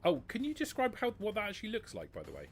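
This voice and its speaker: A man running a tabletop roleplaying game as game master, explaining rules and narrating in halting, self-correcting phrases. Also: high-pitched, wildly swooping pitch. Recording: noisy hobby livestream audio.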